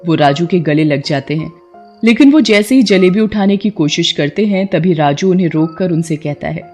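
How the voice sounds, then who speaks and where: A woman's voice: fairly low pitch, animated, moderate pace, a little mellow, faint music behind. A woman reading scripted narration for a children's moral story.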